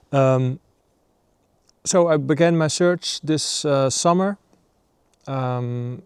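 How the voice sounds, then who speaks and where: A man in his thirties from the Netherlands, a native Dutch speaker, talking casually to camera in a halting way with many fillers. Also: mid-range voice, darkish tone, clear, resonant tone, no room sound. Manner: halting, many ums and uhs. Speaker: nature vlogger. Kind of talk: casual vlog talk to camera. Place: outdoors in northern Sweden.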